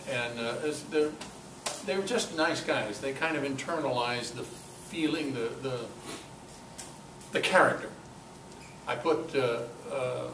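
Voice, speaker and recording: A man in his seventies giving an informal club talk, halting as he searches for words. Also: mid-range voice. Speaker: club speaker. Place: club meeting room. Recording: echoey meeting room, distant mic, noisy.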